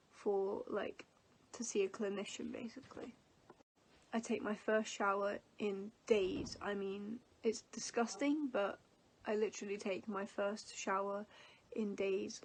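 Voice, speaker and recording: Sniffly young English woman vlogging. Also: mid-range voice. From England. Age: 20s. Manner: rambling, many fillers and restarts. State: sniffly from allergies. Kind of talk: casual storytelling vlog.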